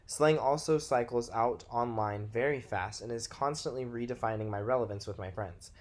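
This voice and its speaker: A man's voice: medium pitch, normal speed. A nineteen-year-old man from Texas with a Texas American accent, narrating a scripted presentation as a student. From Texas, United States.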